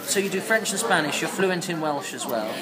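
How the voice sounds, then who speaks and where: A man hosting a podcast, asking halting questions full of restarts and laughing easily. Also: a little high, lively intonation, over a noisy crowd, low-quality recording. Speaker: podcast host. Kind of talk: casual interview chat.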